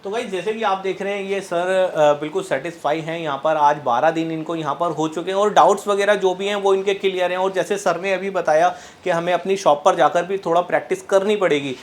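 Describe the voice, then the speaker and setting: A man's voice: high-pitched, fairly even intonation, fairly quick, few pauses. A man interviewing students in a repair training class, talking casually and repeating himself. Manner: chatty, repeats "so guys, as you can see".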